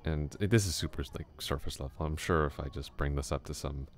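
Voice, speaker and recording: A man voicing a chatty young male classmate in an ASMR roleplay, musing aloud in a soft, rambling way with small chuckles. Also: extremely low pitch, fairly quick, slight background noise.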